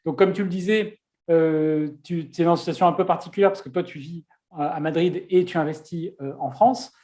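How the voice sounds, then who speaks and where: A man's voice: on the high side, brisk, dark tone. A man hosting a casual interview, chatty, with many fillers and restarts. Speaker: host.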